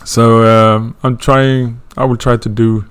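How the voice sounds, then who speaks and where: A man's voice: mid-range voice, little pitch movement, takes it slowly, clean tone. A man from Sweden with a Swedish accent, introducing an astrology course in a hesitant way, with many fillers and restarts. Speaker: astrology teacher.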